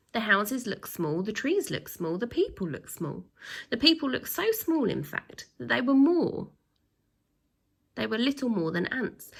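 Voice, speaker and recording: Lady reading a kids book out loud, dramatic, bit of fry in the voice, asks the listeners stuff. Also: mid-range voice. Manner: dramatic emphasis, questioning listeners. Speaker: teacher reading a children's book aloud. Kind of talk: expressive storytime narration with asides to children.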